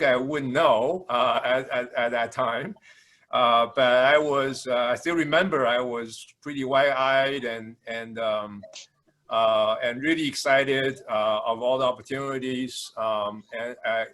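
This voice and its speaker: Halting older man with a Taiwanese accent. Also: mid-range voice, fairly bright tone. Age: 60s. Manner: halting, frequent hesitations and repetitions. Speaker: university administrator introducing himself. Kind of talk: informal introductory speech. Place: video call.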